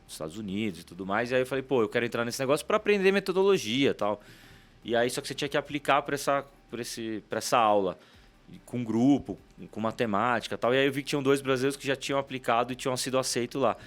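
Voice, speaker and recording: Fast-talking Paulistano man in his forties. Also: mid-range voice, lively intonation, faint music behind. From São Paulo, Brazil. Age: 40s. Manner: quick, chatty, leans on "né" tags. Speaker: guest, startup CEO. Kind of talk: casual interview storytelling.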